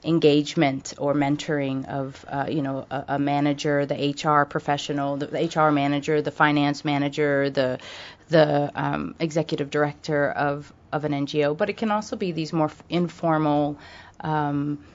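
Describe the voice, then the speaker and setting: A woman's voice: low voice, flat delivery, normal speed, highs cut off. An American woman speaking as a radio guest, thoughtful, with many fillers and restarts. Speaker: guest from a charity. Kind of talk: radio interview.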